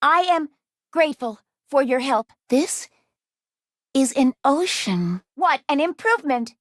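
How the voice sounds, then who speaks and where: Gentle anxious girl robot for a video game, high pitched, careful formal wording, super dry close sound. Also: dry recording. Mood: earnest, helpful. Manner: formal, precise, careful diction without contractions. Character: gentle, anxious young female robot, earnest and self-doubting. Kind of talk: video game character voice lines.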